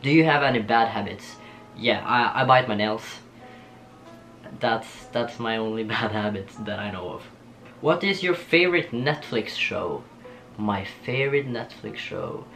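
A young man from Norway with a Norwegian accent, chatting casually to camera in a small home studio, laughing often and pausing with ums. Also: lowish voice, creaky. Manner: relaxed, giggly, lots of ums and restarts. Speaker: vlogger. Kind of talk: casual get-to-know-me chat. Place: small bedroom studio with shelves and posters. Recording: soft guitar music underneath.

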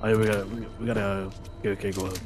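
A young man streaming a game from his room on a headset, talking in a raspy whisper so his parents won't hear. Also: lowish voice, flat delivery, very fast, over music. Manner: hushed whispering, says he keeps quiet for his parents. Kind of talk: live gaming stream chat. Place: small room at home.

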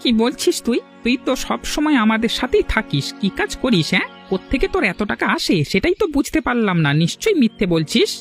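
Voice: mid-range voice, very animated, fast talker, hardly any pauses, faint music behind. A performer voicing a suspicious, prying young male college student in a cartoon. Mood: mocking.

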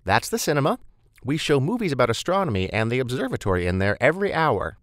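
A motherly, talkative woman from an audiobook, performed by a man. Her voice sits well up high and swings around in lively fashion, brisk and welcoming, captured dry and close. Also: no echo, lightly acted. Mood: bustling, welcoming. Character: chatty, motherly, bustling woman museum staffer. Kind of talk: audiobook character dialogue.

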